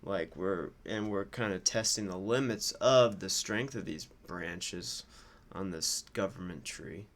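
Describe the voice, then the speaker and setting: A man giving informal, off-the-cuff political commentary in a casual, musing way, like a streamer thinking out loud. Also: low-pitched, unhurried, hardly any pauses.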